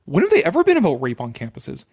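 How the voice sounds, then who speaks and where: This is a Canadian man with a fairly high voice for a male speaker. He reads aloud dramatically and breaks off into casual, opinionated commentary. His manner is sarcastic and exasperated, with plenty of profanity. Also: huge pitch swings, quick pace, creaky, a little mellow, slightly rough. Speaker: commentator reading an article aloud.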